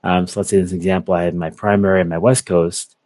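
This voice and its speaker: A young man giving a methodical, step-by-step software walkthrough over a video call. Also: deep voice, little pitch movement, fast, dry recording, studio quality. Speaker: software product presenter. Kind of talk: screen-share software walkthrough.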